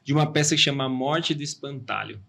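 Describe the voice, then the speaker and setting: Quick gravelly podcast host. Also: male voice, medium pitch, bright, forceful tone, a little hiss or hum. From São Caetano, Brazil. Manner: upbeat, friendly questioning. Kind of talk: casual interview chat.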